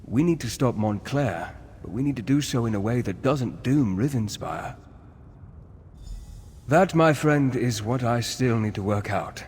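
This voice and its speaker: A man's voice: mid-range voice, expressive, unhurried, a little mellow, lightly acted. Earnest fantasy commander, male actor, mid-range voice, stately and dramatic, with long reverb and slight background noise. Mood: solemn, reassuring. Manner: formal, solemn, dramatic. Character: noble, earnest male war leader and ally. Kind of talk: fantasy video game acting.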